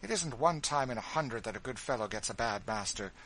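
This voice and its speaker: A man's voice: expressive, fairly quick. A man voicing a petulant, self-pitying wealthy widow, speaking sharply in a raised voice. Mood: haughty. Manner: complaining, sharp, high and raised.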